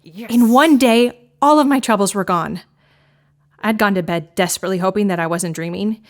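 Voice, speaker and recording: Casting: actress for a dramatic, frazzled woman confessing a strange bargain, medium pitch, wry and theatrical, audio drama monologue. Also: moderate pace, lightly acted. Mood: amazed, relieved.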